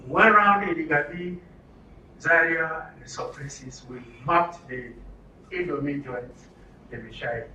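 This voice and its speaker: A man's voice: on the high side, a little slow, bright, forceful tone. A man with a Nigerian accent leading a training session as an interactive lecture, asking rhetorical questions and repeating answers back. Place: indoor training room with audience. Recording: echoey room, distant mic, faint noise.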